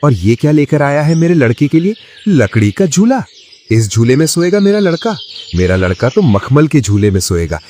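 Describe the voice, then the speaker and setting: A man's voice: a little high, sing-song, normal speed, darkish tone, a little hiss or hum. A man voicing an arrogant, domineering rich elder brother in a moral-story cartoon. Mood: scornful anger. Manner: scornful, domineering.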